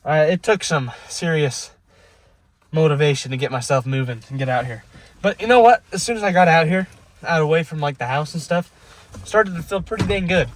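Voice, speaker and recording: A young man with a cold-raspy voice chatting excitedly to camera while car camping by a lake, casual and full of "dude" and "like". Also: a little high, fairly quick. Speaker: vlogger. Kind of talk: casual vlog chat to camera.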